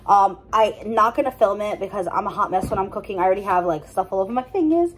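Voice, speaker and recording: A woman's voice: medium pitch, lively intonation, quick pace, slight background noise. A young woman chatting casually to her vlog audience at home, talking loosely with lots of "like" and restarts. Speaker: vlogger. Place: home kitchen.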